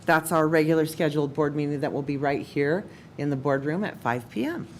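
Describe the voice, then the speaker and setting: A woman who is a school superintendent, giving a relaxed but formal update at a board meeting. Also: deep voice, fairly quick, a little hiss or hum.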